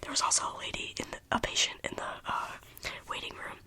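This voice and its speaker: A young adult telling a rambling, casual story in a soft ASMR whisper, with a slight lingering cough. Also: fast. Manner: rambling, casual, full of "like" fillers.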